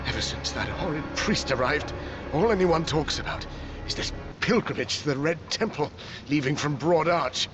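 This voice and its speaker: An actor playing a proud, indignant captive lord in a fantasy game, scornful and blustering. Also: male voice, fairly high pitch, normal speed, over music, close to an everyday voice. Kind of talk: dramatic game cutscene acting.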